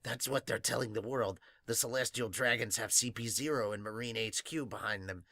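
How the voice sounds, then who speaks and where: A man voicing a grim, determined revolutionary in a hushed, grave manner for an amateur manga dub. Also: medium pitch, a little fast, no room sound. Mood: grim resolve.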